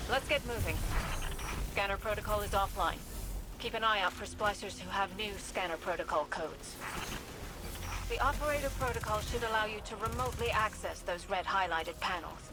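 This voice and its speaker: An actress playing a composed mission commander in a video game, giving clipped tactical instructions. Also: female voice, medium pitch, noisy background, close to an everyday voice. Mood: calm, tactical. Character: composed female mission commander guiding a soldier remotely. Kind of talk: scripted video game voice acting.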